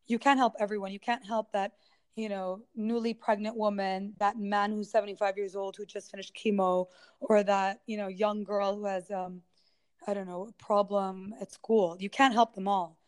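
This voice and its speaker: A woman from the United States speaks as a guest in a relaxed conversation. Her voice sits in a middle pitch. She talks earnestly and with animation. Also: moderate pace, smooth. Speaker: guest, healer or therapist. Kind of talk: casual conversation.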